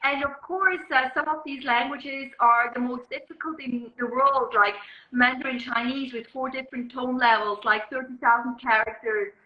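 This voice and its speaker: A journalist from Switzerland speaks with a Swiss accent, her first language Swiss German. Her voice sits a little high, and she works through her thoughts with many ums and uhs. The sound is roomy and dull, as on a video call. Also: fairly even intonation, moderate pace. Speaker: journalist and guest. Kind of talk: interview conversation.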